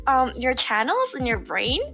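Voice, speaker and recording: A woman whose first language is Chinese, chatting casually in English on a podcast, playfully repeating words in quick bursts. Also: sits high, sing-song, creaky, pushed and bright, faint music behind. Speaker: VTuber podcast co-host. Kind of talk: casual chat.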